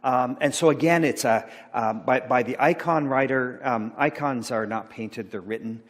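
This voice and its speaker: Middle-aged male pastor, rambling and halting delivery, mid pitch, long hall reverb. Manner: rambling, halting, many fillers and restarts. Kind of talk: informal sermon talk about slides. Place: church sanctuary.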